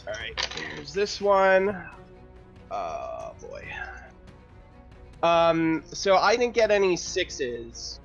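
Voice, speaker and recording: Slow-talking, higher-pitched man at a game table. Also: wildly swooping pitch, gravelly, muffled recording. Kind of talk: casual game chat. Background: music.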